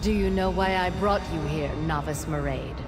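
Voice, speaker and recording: An actress playing a stern, cold priestess mother in a video game, speaking solemnly and deliberately, her voice reverberating under music. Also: sits low. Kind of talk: video game character acting. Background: clear music.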